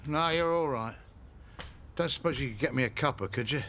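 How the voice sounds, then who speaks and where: A man's voice: medium pitch, brisk, fairly bright tone, a little hiss or hum, close to an everyday voice. A man playing a proud, stubborn, middle-aged pub landlord and dad in a radio soap. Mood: weary, wary.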